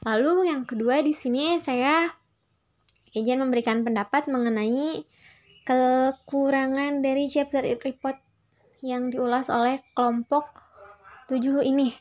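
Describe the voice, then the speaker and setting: A young woman with a fairly high voice speaks as a student presenting to classmates. Her delivery is polite and formal, and she often restates a phrase in slightly different words. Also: steady tone, a little slow, pauses often, darkish tone. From Indonesia. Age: young adult. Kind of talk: prepared spoken class response.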